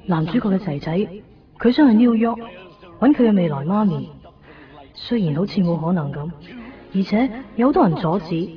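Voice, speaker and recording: Woman acting a young hk cantonese girl, soft n earnest, yearning, radio play, bit muffled with light music. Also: medium pitch, animated, moderate pace, pauses often, lightly acted. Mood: tender. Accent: Hong Kong Cantonese. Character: yearning, self-doubting young woman in love. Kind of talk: radio drama inner monologue. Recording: dull 1990s radio recording. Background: faint music.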